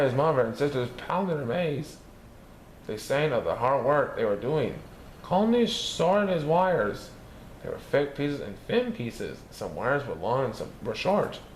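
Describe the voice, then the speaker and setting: A man reading a children's picture book aloud, lifting his voice high for the characters' lines. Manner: lively, raising his pitch for characters' lines. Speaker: storybook reader. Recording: echoey room with faint background noise.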